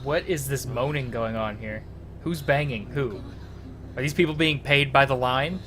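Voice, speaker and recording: Male gameplay commentator, medium pitch, casual and wryly baffled, mild background noise. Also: normal speed, a bit bright. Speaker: host of a gaming video series. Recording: truck game engine noise, radio play underneath. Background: truck driving game and radio drama.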